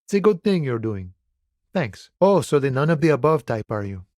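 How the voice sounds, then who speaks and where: An actor playing an easygoing, philosophical union dockworker in a video game, talking in a relaxed, wry and familiar way. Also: male voice, medium pitch, normal speed, dry recording, clean studio sound, lightly acted. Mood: friendly, reflective. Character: laid-back philosophical union dockworker, easygoing, wryly friendly man. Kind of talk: video game dialogue voice acting.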